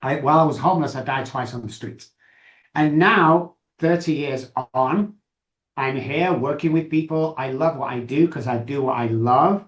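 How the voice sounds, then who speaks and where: A man's voice: mid-range voice, normal speed, highs cut off. Manchester-accented man, roomy sound. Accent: Mancunian. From England. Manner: chatty and self-assured, with frequent "uh" and "um". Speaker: guest, addiction doctor. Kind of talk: candid interview storytelling.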